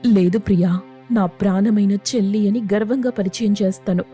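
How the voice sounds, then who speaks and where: A woman's voice: medium pitch, fairly even intonation, moderate pace, mellow, soft background music, lightly acted. A woman playing a devoted, principled village elder sister who became an officer, earnest and reassuring in a moral story. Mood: caring, firm. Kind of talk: dramatised moral story acting.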